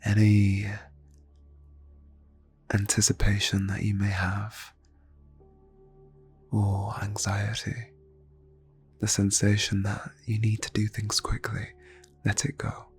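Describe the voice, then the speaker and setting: A man leading an ASMR guided meditation in a soft, breathy near-whisper, phrasing slowly and soothingly with long pauses. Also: on the low side, monotone, faint music behind. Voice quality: breathy, hushed.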